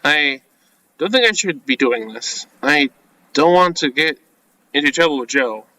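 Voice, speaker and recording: A man's voice: on the high side, animated, measured pace, sharp tone, dry recording, rough recording. A man reading a visual novel game script aloud, mixing in informal asides and casual commentary as a let's-player. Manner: informal, reacts with asides between read lines.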